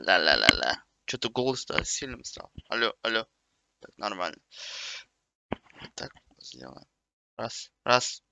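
A man's voice: medium pitch, animated, unhurried, creaky, pauses often, pushed and bright, dry recording. A man in his twenties chatting casually and swearing in slang as he streams a game from a small room. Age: 20s. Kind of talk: casual live-stream gaming chat.

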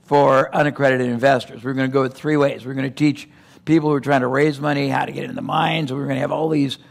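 An older man giving a keynote on a conference stage, talking in an animated way with quick digressions and restarts. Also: medium pitch, vocal fry. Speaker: keynote speaker. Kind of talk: conference keynote in seated interview format. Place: conference stage before a live audience.

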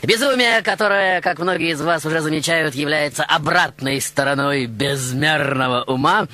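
A man's voice: on the high side, moderate pace, bright, forceful tone, no echo. A man hosting a radio show with a theatrical monologue, grandiose and playful in his delivery. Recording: radio show over prominent music. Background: music.

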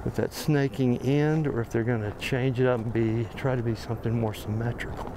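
A man with an American accent walks a construction site outdoors, talking casually to his camera and thinking aloud with plenty of pauses and fillers. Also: mid-range voice, fairly even intonation. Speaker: videographer on a walking site tour. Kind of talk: casual walking commentary. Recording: outdoor camera audio with noticeable background noise.

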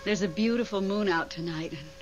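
An actress playing a nervous woman confiding over the telephone in a 1970s radio drama, anxious and halting. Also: medium pitch, over music, natural voice. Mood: wistful, casual. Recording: dull, noisy 1970s radio broadcast recording.

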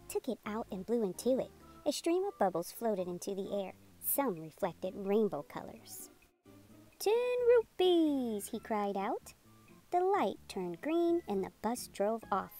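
A woman narrating a children's read-aloud in an expressive, deliberate storytelling manner. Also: a little high. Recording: music behind the voice. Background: music.

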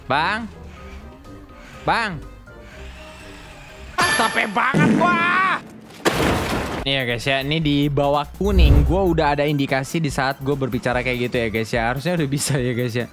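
Animated man with Jakarta-style slang, music behind. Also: a little high, normal speed, pauses often. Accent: Jakarta-style colloquial Indonesian. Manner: joking, teasing, slangy. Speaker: gaming video creator commentating for viewers. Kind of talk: casual roleplay gameplay commentary.

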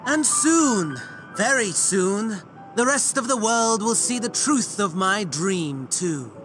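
A man's voice: sits high, lively intonation, slow, fairly bright tone, faint music behind, heavily acted. A man playing a sardonic hooded villain in a video game, slyly courteous, with affected, mocking politeness. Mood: wistful, menacing. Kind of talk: dramatic video game cutscene acting.

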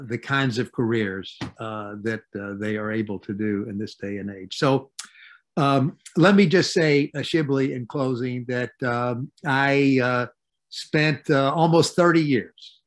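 An older man in his seventies giving reflective, halting remarks with weighty pauses over a video call. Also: medium pitch, fairly bright tone. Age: 70s. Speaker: retired university president, guest speaker. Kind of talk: reflective remarks in a panel tribute. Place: home study with bookshelves, indoors. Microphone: video call computer microphone.

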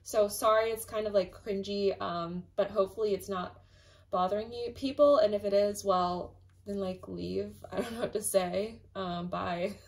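A woman with a slightly raspy voice, casually chatting through a tarot reading as the host of her own channel, full of fillers and emphatic asides. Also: mid-range voice.

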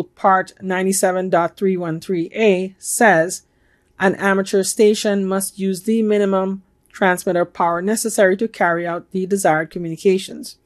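A middle-aged woman giving a measured, instructional talk to camera in a room at home. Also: medium pitch. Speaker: amateur radio video host. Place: home room with bookshelves, indoors.

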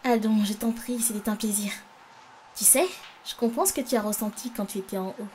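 A woman's voice: medium pitch, steady tone, a little fast, background noise, natural voice. A woman voicing a kind, encouraging older girl in an anime fan dub, explaining things in a supportive way. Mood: gentle, reflective.

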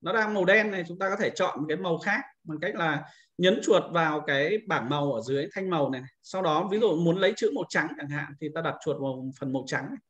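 A man's voice: on the high side, fast, a bit bright, muffled recording. A man giving an informal screen-recorded tutorial as an instructor, explaining things step by step and often repeating himself.

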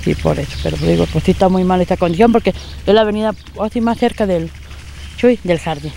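A woman's voice: lowish voice, fairly quick, vocal fry, mellow, a little hiss or hum. Rambling middle-aged Mexican woman outdoors. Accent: Mexican Spanish. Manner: rambling, filler-heavy. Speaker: resident interviewed on the street. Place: outdoors by a fence. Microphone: handheld reporter mic.

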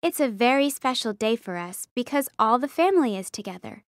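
A friendly young schoolgirl, played by a woman, talks in a fairly high voice. She reads simple textbook sentences with careful enunciation, recorded close and dry. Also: animated, a little fast, no room sound. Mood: cheerful. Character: friendly young Vietnamese schoolgirl describing family meals. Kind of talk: scripted language-textbook audio reading.

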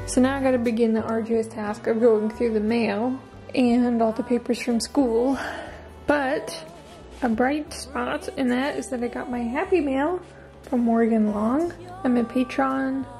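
Chatty, higher-voiced woman vlogging. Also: a little slow, mellow, low-quality recording. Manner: chatty, upbeat. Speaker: vlogger, host. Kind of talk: casual home vlog narration and chat. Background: music.

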